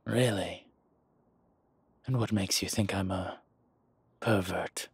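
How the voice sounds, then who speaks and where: An actor playing a commanding, teasing, protective dragon mob boss in an intimate audio drama, with a husky, breathy voice and deliberate delivery. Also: male voice, deep voice, dry recording, full character voice. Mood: teasing, amused.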